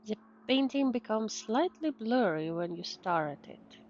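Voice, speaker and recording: A female streamer with a high, lively voice plays through a game, commenting casually and reading lines at a slow pace. The sound is slightly dull. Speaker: VTuber streamer. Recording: dull microphone, game music behind.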